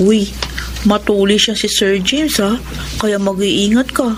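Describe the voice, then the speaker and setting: A woman's voice: medium pitch, steady tone, measured pace, a little hiss or hum, close to an everyday voice. A woman with a Filipino accent playing a frank, teasing young student friend in a radio romance drama.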